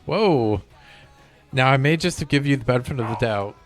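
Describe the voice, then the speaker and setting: A man's voice: medium pitch, lively intonation, normal speed. A man giving casual gameplay commentary as a streamer, with game music behind him.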